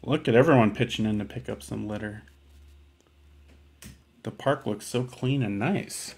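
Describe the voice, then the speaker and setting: Gentle man hosting children's storytime. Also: fairly low pitch, unhurried, creaky. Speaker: storytime host at a library. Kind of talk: casual commentary for young children.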